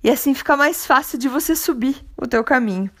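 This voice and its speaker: A woman's voice: sits high, a little fast. A woman with a Brazilian Portuguese accent hosting a motivational podcast, talking in a conversational, encouraging, reflective way. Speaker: podcast host.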